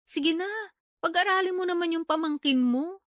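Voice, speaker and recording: A woman's voice: very high voice, normal speed. A woman playing a pushy, talkative middle-aged mother in a radio drama, coaxing and nagging in colloquial speech. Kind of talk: Filipino radio drama acting.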